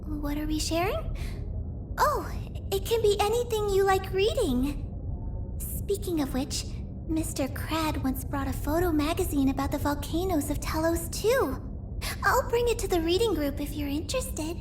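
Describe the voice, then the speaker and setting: A woman playing an earnest, shy, bookish young woman geologist in a video game, with a soft girlish voice and polite, hesitant delivery. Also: extremely high pitch, animated, normal speed, noisy background. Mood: bright, friendly. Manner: polite, hesitant, stammering when flustered. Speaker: game character voice actor. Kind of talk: video game character voice lines.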